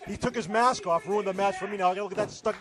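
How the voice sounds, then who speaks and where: Fast, wisecracking male wrestling color commentator. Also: high voice, steady tone, a crowd in the background. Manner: wisecracking, sardonic. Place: wrestling club. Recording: noisy live venue.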